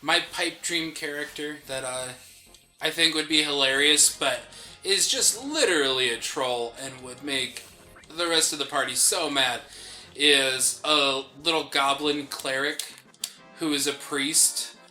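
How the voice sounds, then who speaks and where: This man has a strikingly bright, crisp-edged voice pitched a little high. He talks casually as a gaming co-host, explaining his ideas conversationally, with faint game music in the background. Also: unhurried. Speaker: co-host of a game playthrough show. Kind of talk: casual chat. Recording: game music behind the talk. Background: video game music.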